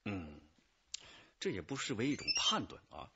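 A man's voice: sits low, very animated, normal speed, vocal fry, muffled recording, heavy background noise, heavily acted. An actor playing an authoritative male chief detective who questions probingly in a radio drama. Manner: questioning, commanding. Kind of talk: Chinese radio drama acting.

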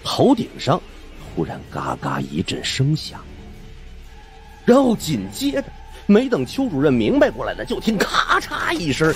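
A man's voice: a little high, wildly swooping pitch, unhurried, soft background music. A man narrating a supernatural suspense audiobook in a theatrical, suspenseful way. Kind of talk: dramatic scripted storytelling of a supernatural suspense novel.

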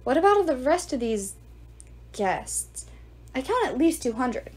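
A young male demigod in disguise speaks with tense accusation, as a woman reader puts him on. The voice is a little high and moves widely in pitch. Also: sing-song, measured pace, a little mellow, breathy edge, full character voice. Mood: accusing. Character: young male demigod posing as an old beggar.

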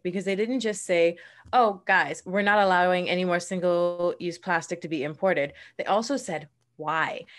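A young woman from the Bahamas, a marine conservationist, explaining earnestly in a casual interview over a video call from a room at home. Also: on the low side. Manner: earnest, explanatory, quick bursts between slow stretches.

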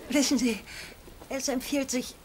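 A woman's voice: high voice, steady tone, a little slow. An actress playing a fussy, condescending older lady in a German sitcom dub, sugary and bossy. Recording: noisy audio with clear background noise.

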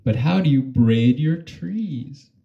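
A man's voice: mid-range voice, lively intonation, slow pace, creaky, echoey room, muffled recording. A man voicing a plucky, curious young girl from a storybook, with an emphatic, questioning delivery. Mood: puzzled.

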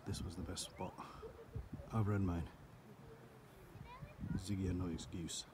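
A man's voice: sits low, steady tone, unhurried. Joking man, noisy outdoor recording. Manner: joking, informal. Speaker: vlogger. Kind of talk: casual chat while filming a hike. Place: outdoors on a moorland reservoir trail. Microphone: handheld phone camera. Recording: noisy outdoor phone recording, wind and handling clicks.

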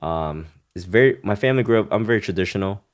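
Very deep-voiced man telling his story. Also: fast talker. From Hong Kong. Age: 30s. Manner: relaxed, loose phrasing with frequent "you know" and "right?". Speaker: podcast guest. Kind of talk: casual conversational storytelling.

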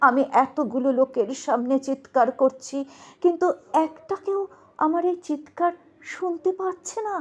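A woman's voice: high voice, steady tone, normal speed, darkish tone, natural voice. A woman reciting as the ghost of a murdered young woman doctor, speaking to her mother in an anguished, tearful, pleading way. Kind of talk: dramatic recitation.

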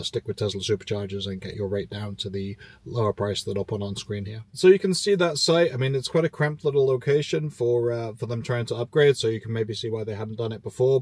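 A man's voice: fairly low pitch, a little mellow, no echo. A man in his thirties, host of an EV channel, talking casually and informatively from a parked car, with many fillers. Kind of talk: casual road-trip commentary.

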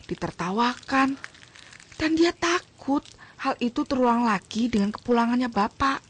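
Woman acting gentle mother, husky voice, higher pitch, radio drama. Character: gentle mother defending her son.

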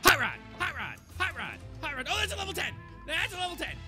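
Playful cocky boy played by a man, high-pitched and animated, taunting game-roleplay delivery with music underneath. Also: quick pace, heavily acted. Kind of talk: improvised Minecraft roleplay.

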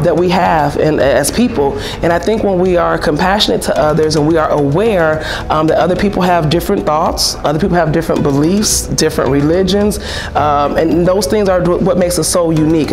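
An enthusiastic woman teacher answers interview questions at school with emphatic delivery. Music plays clearly behind her. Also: sits low. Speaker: family and consumer science teacher. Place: indoor room at school.

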